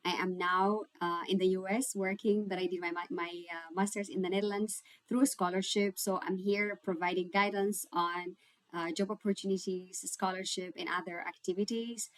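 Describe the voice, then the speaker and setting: A woman's voice: medium pitch, little pitch movement, normal speed, dry recording, low-quality recording. A woman with an Ethiopian accent chatting informally to camera as a host giving guidance, repeating phrases for emphasis. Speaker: YouTube host giving career and scholarship guidance. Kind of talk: casual chat to camera. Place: indoors, homemade home recording setup. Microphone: separate microphone.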